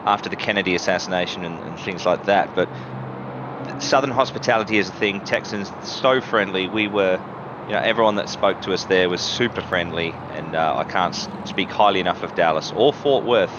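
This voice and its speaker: A man's voice: deep voice, a little fast. A man co-hosting a live stream in casual, earnest chat. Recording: dull lo-fi remote link, loud vehicle noise behind.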